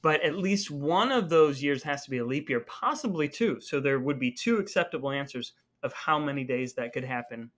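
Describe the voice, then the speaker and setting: A man's voice: a little high, lively intonation, moderate pace, few pauses, fairly bright tone. A man co-hosting a casual podcast with his brother, telling stories wryly and reading the outro. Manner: wry, playful storytelling, reads outros. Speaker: podcast co-host. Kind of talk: casual chat with scripted segments.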